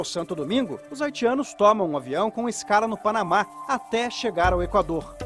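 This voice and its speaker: A man narrating a television news report in a measured, deliberate manner. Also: high-pitched. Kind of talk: broadcast news narration and field interviews.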